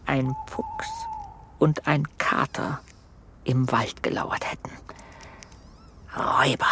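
An actor playing a suspenseful, involved storyteller in a children's radio play. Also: male voice, mid-range voice, wildly swooping pitch, slow pace, in a whisper, heavily acted.

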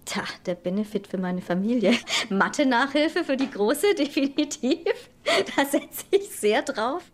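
A woman's voice: sits high, lively intonation, a little slow. A woman and mother speaking thoughtfully and candidly in a documentary interview.

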